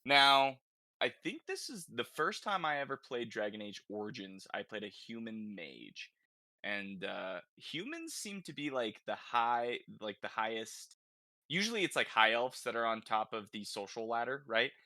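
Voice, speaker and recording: A man with a bright, forceful voice hosts a casual gaming podcast. He talks informally, working out his thoughts as he speaks. The sound is very dry, with no room around it. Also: mid-range voice, big pitch swings, a little slow, dry recording. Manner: informal, conversational, thinking aloud. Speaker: podcast host.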